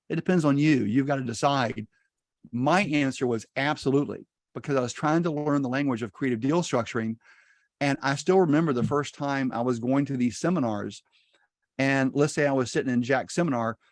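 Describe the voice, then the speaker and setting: A man's voice: mid-range voice, no room sound. A middle-aged man, a native Spanish speaker, teaching real estate investing in a folksy, upbeat way over a video call.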